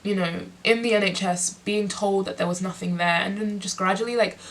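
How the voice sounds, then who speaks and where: A young woman talks openly in a personal vlog. Her voice is sniffly and congested from a cold. She is reflective and unhurried, leaning on frequent "like" fillers as she gathers her thoughts. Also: medium pitch, steady tone, low-quality recording. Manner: reflective, heavy use of "like" fillers. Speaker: musician and performer.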